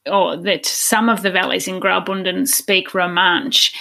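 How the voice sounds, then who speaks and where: A woman's voice: lowish voice, level tone, measured pace, vocal fry, bright, forceful tone. A woman hosting a podcast, interviewing in a conversational, polite and encouraging way. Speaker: podcast host.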